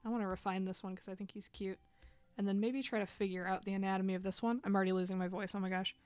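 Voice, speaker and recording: A woman's voice: mid-range voice, level tone, rapid-fire, darkish tone, clean tone, dry recording. A woman in her thirties chatting casually while drawing on a live stream, rambling and filling gaps with "like". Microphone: desk microphone.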